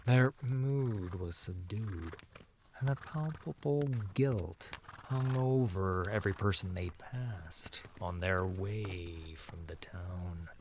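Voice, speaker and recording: A solemn man narrates an epic fantasy tale at an unhurried pace. His voice sits fairly low, and his phrases often fade to a hush. A faint bed of ambient sound lies behind him. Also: slight background noise. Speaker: audiobook narrator. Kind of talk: dramatic scripted fantasy narration.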